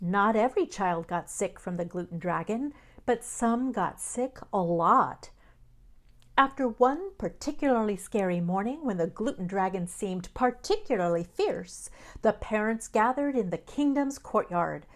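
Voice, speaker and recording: A woman's voice: mid-range voice, animated, measured pace, no echo. A woman reading scripted narration for podcasts and radio, as a host and reporter.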